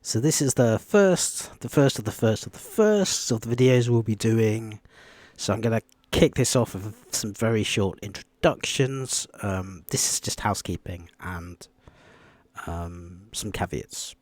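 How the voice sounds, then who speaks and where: A quiet-voiced man giving a rambling, off-the-cuff Bible study video, with many ums and restarts. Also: fairly low pitch, measured pace, dry recording. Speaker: Bible teacher.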